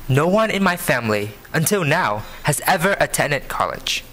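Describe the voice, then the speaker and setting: A man in his early twenties with a Vietnamese accent, giving an earnest prepared speech to an audience, phrasing deliberately. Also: a little high, sing-song, a bit bright. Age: early 20s. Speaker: scholarship program student speaker. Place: live benefit event before an audience.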